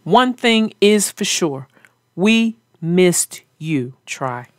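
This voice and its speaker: Slow, expressive woman storyteller. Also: on the low side, dry recording, clean studio sound. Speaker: children's read-aloud narrator and host. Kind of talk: storybook narration and casual discussion.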